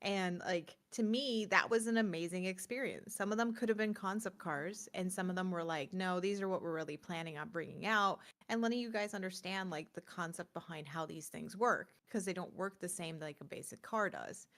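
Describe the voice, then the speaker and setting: A woman co-hosting a casual chat, talking in an enthusiastic, expressive and emphatic way. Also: lowish voice, moderate pace, few pauses. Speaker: podcast co-host.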